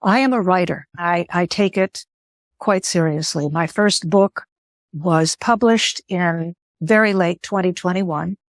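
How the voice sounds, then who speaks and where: An older woman whose first language was Greek, speaking in an interview in a thoughtful, measured way and pausing to rephrase. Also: on the low side, no echo, clean studio sound. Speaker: guest. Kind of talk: interview conversation.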